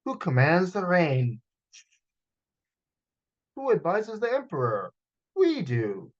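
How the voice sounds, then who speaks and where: A man's voice: high voice, wildly swooping pitch, slow pace, highs cut off, voice pushed up, exaggerated voice. A man voicing a proud father dragon in a children's storybook, declaiming grandly to his young son.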